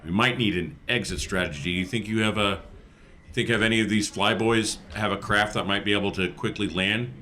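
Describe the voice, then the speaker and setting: A man plays an earnest sci-fi rebel at a game table. His voice is low with a bright, forceful edge, and he talks steadily and deliberately. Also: a little hiss or hum. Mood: earnest, resolute. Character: steady, earnest male rebel adventurer planning a rescue. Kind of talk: improvised tabletop roleplay.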